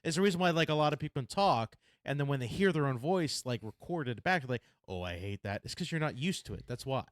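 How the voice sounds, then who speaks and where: A young adult man co-hosting a podcast, talking in a rambling casual way with a deep baritone and many filler words. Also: expressive, fast, few pauses, dry recording, studio quality. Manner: rambling, lots of "like" and restarts. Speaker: podcast co-host. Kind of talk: casual chat.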